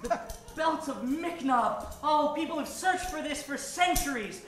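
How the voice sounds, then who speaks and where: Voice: high-pitched, animated, unhurried, a bit bright, exaggerated voice. An actor playing an eager, gushing young boy sidekick in an old-time radio adventure parody. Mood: awed, eager. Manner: gee-whiz, gushing. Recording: roomy live stage sound, faint music.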